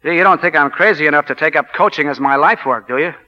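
A man's voice: a little high, fast, pushed and bright, muffled recording. An actor playing an eager, earnest young college man in 1940s radio drama style, plain-spoken and self-effacing, on a vintage broadcast recording. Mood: earnest, modest. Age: young adult. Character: eager young college football player turned chemistry teacher, earnest.

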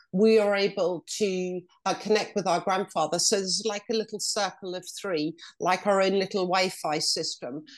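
A woman from Ireland speaking as an interview guest, soft-spoken and reflective, with hesitant restarts, over a video call. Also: medium pitch. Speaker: intuitive energy reader, guest. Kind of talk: casual interview talk.

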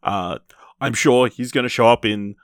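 A man hosting a podcast, casually reviewing films in a rambling, halting way with repeated false starts. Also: medium pitch, dry recording, studio quality. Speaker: podcast host. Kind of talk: casual film review chat.

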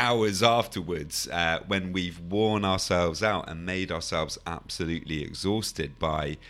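A man with a deep, low-set voice that sounds croaky and a little hoarse today. He explains things calmly and steadily as a podcast host, often adding "you know" and restarting phrases. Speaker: podcast host and anxiety coach. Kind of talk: solo podcast talk.

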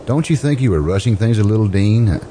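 Casting: male actor for impatient, folksy questioner in radio play, low warm voice, noisy recording. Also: expressive, fairly quick, lightly acted. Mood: blunt, impatient. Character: blunt, inquisitive, impatient listener awaiting a bus. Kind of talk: old-time radio drama acting.

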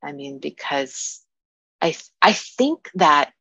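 Woman coach as podcast guest: lowish, bright, forceful voice, halting and reflective, thinking aloud. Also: animated, dry recording, dull audio. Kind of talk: reflective conversational interview.

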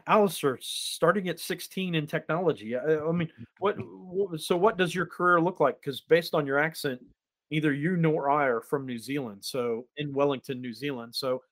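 A man co-hosting a podcast in an affable, jokey way, often chiming in with quick affirmations. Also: fairly high pitch. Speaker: podcast co-host. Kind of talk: casual podcast chat.

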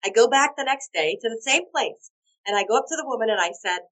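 Hoarse woman telling lively stories. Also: on the high side, fast, low-quality recording. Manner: expressive, laughs often, acts out quotes. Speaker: guest. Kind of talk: animated storytelling.

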